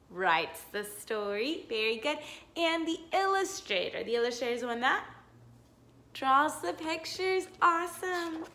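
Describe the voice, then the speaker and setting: A woman with a high, lively voice reads a picture book to young children like an encouraging teacher. She takes her time, swinging widely in pitch with exaggerated wonder, and stops to ask simple questions. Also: slight background noise. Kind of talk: animated children's read-aloud with simple explanations and questions.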